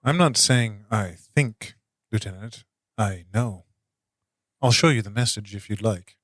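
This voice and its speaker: A man playing a calm military intelligence officer in a tabletop game, speaking formally in halting, measured phrases. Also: fairly low pitch, no echo. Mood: calm, deliberate. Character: calm, deliberate male military intelligence officer, quietly insistent.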